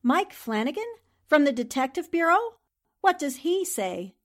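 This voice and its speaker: An actress playing a brisk, determined newspaperwoman in a 1940s-style radio drama, asking questions in a crisp, probing, composed manner. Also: sits high, sing-song. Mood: intent. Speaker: voice actor in an audio drama.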